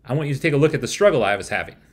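A man's voice: mid-range voice, lively intonation, racing, breathy edge. A man in his forties presenting a scripted review to camera, with wry rhetorical asides. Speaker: software reviewer. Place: small room at home, indoors.

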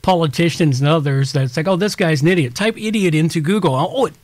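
A man's voice: on the high side, fast, dry recording. Rambling man explaining tech casually. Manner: rambling, restarts a lot, folksy examples. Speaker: podcast host.

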